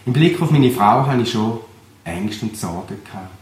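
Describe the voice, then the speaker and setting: A young man from Switzerland, a pastor with a Thurgau Swiss German accent, giving an earnest, reflective personal testimony at a measured pace. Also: mid-range voice, lively intonation. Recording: roomy recording with faint background noise.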